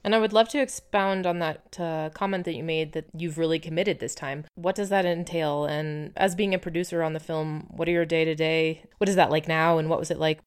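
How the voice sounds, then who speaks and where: Low-voiced woman interviewer. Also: fairly even intonation, a little fast, hardly any pauses, smooth. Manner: affirming, curious questioner. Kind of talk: interview questions.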